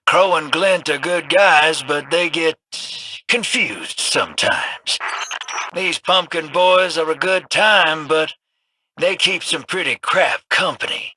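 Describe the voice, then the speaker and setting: An actor voicing a wily, scruffy male gambler in a video game, drawling folksy wisecracks in a husky voice. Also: on the high side, expressive, slow pace, bright, forceful tone, heavily acted, poor audio. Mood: sly.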